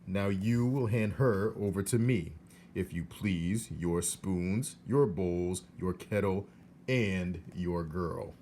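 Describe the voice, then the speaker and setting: A man's voice: sits low, slow, mellow, a little hiss or hum. A man voicing a stern, dutiful soldier of the king in a storybook, with formal, emphatic official speech. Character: stern, dutiful king's soldier delivering royal orders. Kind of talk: storybook character reading.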